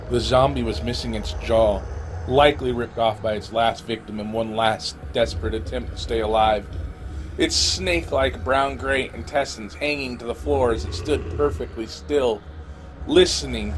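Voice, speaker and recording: A man playing a wounded, frightened survivor, telling his story in a dramatic, halting first-person horror audio drama delivery. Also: medium pitch, noisy background, voice pushed down, lightly acted. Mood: grim dread. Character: terrified wounded male survivor of a zombie horde.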